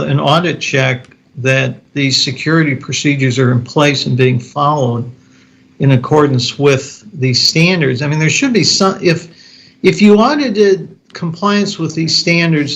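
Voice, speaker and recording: A man on a board committee, asking pointed questions at a meeting in a halting, restarting way. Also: a little high, vocal fry, fairly bright tone.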